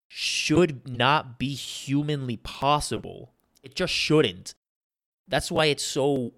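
A man's voice: medium pitch, fairly bright tone. A man in a casual sports discussion, explaining carefully with many restarts. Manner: analytical, hesitant restarts.

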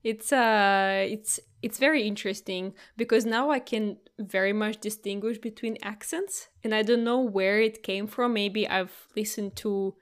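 A woman's voice: medium pitch, measured pace, hardly any pauses, clear, resonant tone. A young woman with a Russian accent that has picked up Australian vowels chats casually. She talks in loose, looping sentences, doubling back on words and laughing lightly between thoughts. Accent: Russian, mixed with Australian. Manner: chatty, often restarts and laughs between thoughts. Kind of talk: casual chat.

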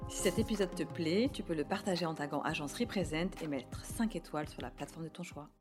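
A woman reads a scripted podcast intro in an upbeat, promotional way. Her pitch sits a little low. Music plays clearly behind her. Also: brisk. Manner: bright, promotional reading.

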